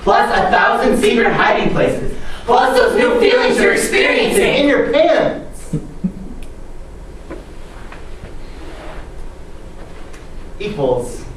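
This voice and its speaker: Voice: medium pitch, wildly swooping pitch, unhurried, some chatter behind. A performer acting as a dramatic young storyteller of summer camp, declaiming emphatically in a readers theatre piece. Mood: knowing. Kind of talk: theatrical group storytelling on stage. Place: large room or hall, distant mic. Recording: echoey hall from far off, faint noise.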